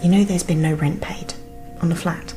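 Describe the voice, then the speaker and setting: A woman's voice: on the low side, steady tone, moderate pace, warm tone, soft background music, lightly acted. A woman with a British accent playing an earnest, insistent wife in a naturalistic radio drama, urgent and intense. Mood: urgent, accusing. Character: earnest, insistent young wife, convinced and pressing.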